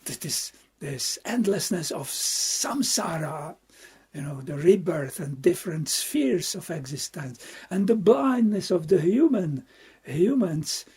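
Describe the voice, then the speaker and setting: A Czech man, a Buddhist monk, gives an unhurried, reflective dharma talk with a Czech accent. His voice is deeply breathy and husky with a mellow, dark color. He pauses often to search for words and lets out soft laughs along the way. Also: lively intonation. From Czech Republic.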